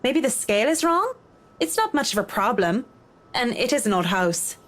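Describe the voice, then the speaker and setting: An actress playing a reserved, blunt professional woman in a horror visual novel, speaking in a measured, dry way. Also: fairly high pitch, big pitch swings, fairly bright tone. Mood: hesitant, thoughtful.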